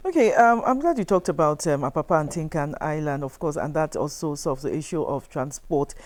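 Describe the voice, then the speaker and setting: A woman's voice: low voice, expressive, a little fast, darkish tone. A woman with a Nigerian accent, interviewing conversationally as a television host. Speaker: television talk show host.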